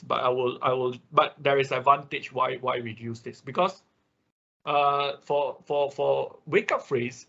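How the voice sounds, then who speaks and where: A man who speaks English as a second language, giving a live technical tutorial step by step in a halting manner, often checking with short tag words. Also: medium pitch, vocal fry, bright, forceful tone, husky. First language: not English. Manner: halting, often repeats and checks with okay or right. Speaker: workshop instructor. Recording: dull, lo-fi online class audio.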